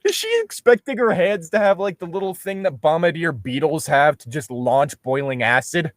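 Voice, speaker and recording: Sarcastic man with a higher-pitched voice, close and dry. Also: moderate pace, dry recording. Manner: sarcastic, swears freely, goes on mocking tangents. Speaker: co-host reading a fan fiction aloud and mocking it. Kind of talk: casual comedic commentary between read-aloud passages.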